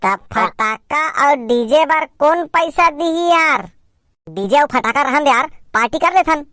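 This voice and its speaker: A woman as a very high-pitched young village man. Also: animated, a little slow, pushed and bright, no echo, studio quality, exaggerated voice. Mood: eager, argumentative. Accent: Chhattisgarhi. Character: excitable young village man planning a party. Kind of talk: amateur cartoon comedy dialogue.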